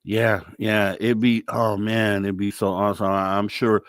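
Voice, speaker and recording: A man's voice: on the low side, little pitch movement, a little slow, no echo, studio quality. A man hosting a live radio talk show in a casual, informal, effusive way.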